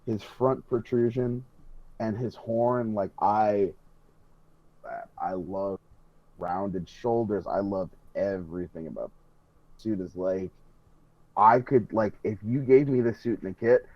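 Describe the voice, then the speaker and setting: Low-voiced man, dull dry recording. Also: fairly even intonation, slow pace, long pauses, no room sound. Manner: emphatic, profane, rambling enthusiasm. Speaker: podcast co-host. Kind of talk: casual enthusiast podcast chat.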